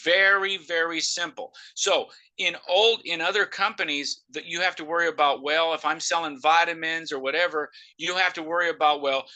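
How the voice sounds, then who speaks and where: A man giving a loud, emphatic sales pitch on a live video call through a noise-cancelling microphone. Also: fairly even intonation, normal speed, hardly any pauses, rough recording.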